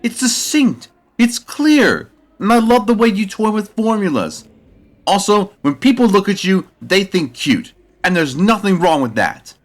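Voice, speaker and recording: A man's voice: high voice, very animated, unhurried, full character voice. An actor playing a sweet, cheerful, slightly clueless young male club vice president, earnest and encouraging, in a visual novel audio drama.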